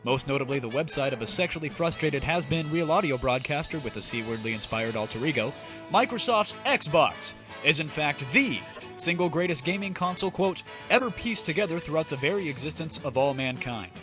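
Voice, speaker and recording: A man voicing a pompous news anchor, reading a mock bulletin in a formal, deadpan, emphatic way. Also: a little high, brisk, lightly acted. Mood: solemn, self-important. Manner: formal, deadpan, stiltedly emphatic newsreader delivery. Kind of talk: parody news broadcast narration. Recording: loud music bed behind voice.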